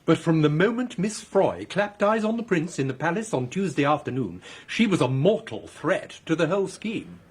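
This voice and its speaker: An actor playing a discreet government official in a radio drama, polite and formal. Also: male voice, on the high side, very animated, moderate pace, hardly any pauses, breathy edge. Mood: firm.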